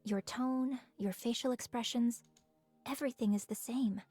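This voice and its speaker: A high-voiced, probing researcher. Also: female voice, normal speed, dark tone, slightly rough, no echo. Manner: curious, probing, poised. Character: inquisitive, composed female researcher with hidden menace. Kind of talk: dramatic game voice acting. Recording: music behind the voice.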